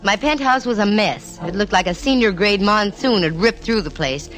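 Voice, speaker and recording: A woman's voice: medium pitch, normal speed, fairly bright tone, natural voice, rough recording. An actress playing a breezy, wry female private eye narrating her case in slangy 1940s radio style. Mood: wry, brisk. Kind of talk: 1940s radio detective acting. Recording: old radio recording with music.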